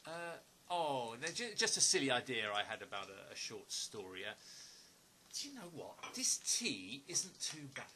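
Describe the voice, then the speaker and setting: A man's voice: mid-range voice, big pitch swings, normal speed, pushed and bright, a little hiss or hum. A man acting in an audio play as an inquisitive, apologetic writer, hesitant and stammering. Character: nosy, awkward, apologetic writer, a stranger visiting.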